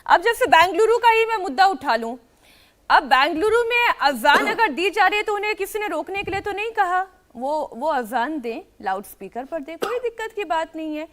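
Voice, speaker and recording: A woman's voice: very high-pitched, lively intonation, normal speed, a bit bright. A woman anchoring a live television news debate, questioning and interjecting in a challenging way. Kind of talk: live panel debate moderation.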